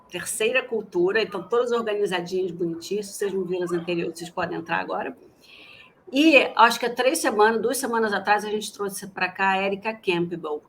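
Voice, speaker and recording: A woman co-hosting a livestream talk show, chatty and lively. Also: medium pitch, slight background noise. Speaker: co-host.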